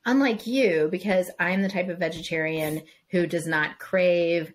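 A woman's voice: lowish voice, a little slow, slight background noise. A woman co-hosting a podcast in casual chat, rambling with restarts and asides. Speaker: podcast co-host.

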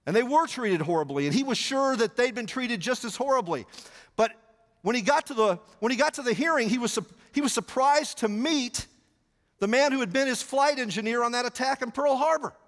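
A middle-aged man with a Southern American accent preaching a storytelling sermon, animated and gesturing as he paces the stage on a headset mic. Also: very high-pitched, a little fast. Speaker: pastor. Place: large church auditorium stage. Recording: long church hall reverb.

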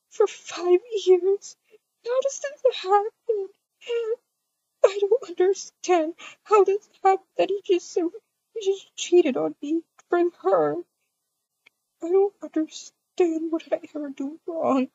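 Heartbroken, betrayed young mother played by a woman: high, crying, creaky voice, amateur game roleplay. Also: warm tone, exaggerated voice. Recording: dull lo-fi sound.

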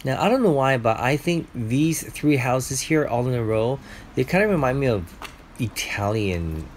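A man's voice: medium pitch, expressive, moderate pace. Chatty guy in his 40s vlogging lunch and a walk, faint background noise. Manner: chatty, explains things to viewers. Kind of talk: casual vlog narration.

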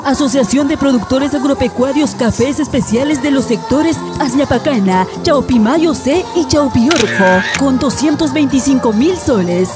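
A woman reading a scripted news voice-over, clear and measured as she lists names and sums. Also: sits high. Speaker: news narrator. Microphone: studio voice-over mic. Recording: voice-over over loud music.

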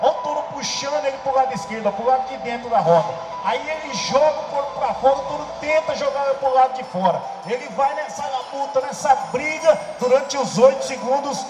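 High-pitched man calling rodeo replays. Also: a little fast, few pauses, over music, a crowd in the background. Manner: explanatory, pointing out technique. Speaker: rodeo commentator. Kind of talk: play-by-play replay commentary. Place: rodeo arena. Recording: echoey arena PA with long reverb.

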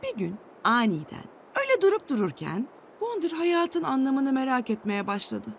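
A woman reading a scripted children's storybook tale with an expressive storytelling delivery. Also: high voice, normal speed, gravelly, a little hiss or hum.

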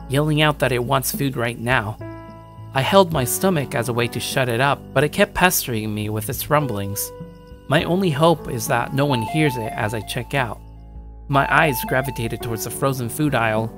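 A man's voice: mid-range voice, lively intonation, normal speed, lightly acted. A man playing a shy young gamer loner over music. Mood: wry, casual. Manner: self-deprecating, stammering when talking to others. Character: shy, awkward young male gamer loner narrating his life. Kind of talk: first-person story narration with acted dialogue.

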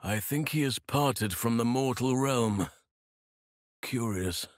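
A man playing a wise old elf druid in a video game, protective and solemn, speaking in measured, earnest, formal phrases. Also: medium pitch, dry recording, studio quality, natural voice. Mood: grave. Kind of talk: video game character dialogue.

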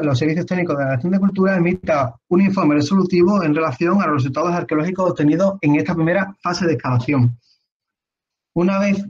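A man in his thirties with an Andalusian Spanish accent, slightly hoarse, presenting archaeology over slides in a halting way full of restarts. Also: fairly high pitch. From Spain. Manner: halting, many restarts and fillers. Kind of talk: conference talk over slides. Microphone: webcam on a video call. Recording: dull lo-fi video call audio.

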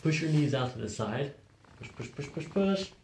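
A soft-spoken man working as a chiropractor, calmly coaching someone step by step in a hushed ASMR style. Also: a little high, normal speed, noisy background. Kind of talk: hushed ASMR treatment instructions. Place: small carpeted treatment office, indoors. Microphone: boom-mounted microphone close to the treatment table.

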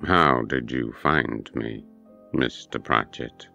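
Very deep, gravelly doctor. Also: male voice, sharp tone, lo-fi sound, soft background music, exaggerated voice. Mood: measured, probing. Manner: slow, deliberate, formal. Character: short round bearded doctor, measured, probing mind-control expert. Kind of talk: audio horror drama acting.

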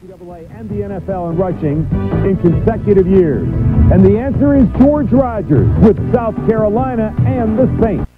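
Man, ad announcer, high swooping delivery, loud music, muffled tape sound. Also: normal speed. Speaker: commercial announcer. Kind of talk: sports trivia ad voice-over.

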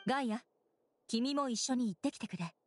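An actress playing a composed young female knight commander in an anime game, formal and gently authoritative. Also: a little high, brisk, faint music behind, lightly acted. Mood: kindly firm. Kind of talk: Japanese anime game acting.

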